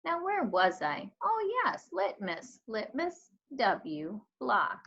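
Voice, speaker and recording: A woman reading a storybook, voicing an elderly lady librarian who tells tales fondly with drawn-out, emphatic phrasing. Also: a little high, huge pitch swings, creaky, a bit bright, voice pushed up, full character voice. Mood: polite, musing. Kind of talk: storybook read-aloud. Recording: dull lo-fi recording.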